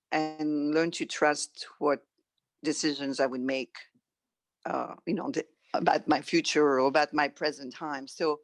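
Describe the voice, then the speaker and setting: A middle-aged woman from France with a French accent, reflecting conversationally as an interview guest in halting, thoughtful phrases. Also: sits low, no echo.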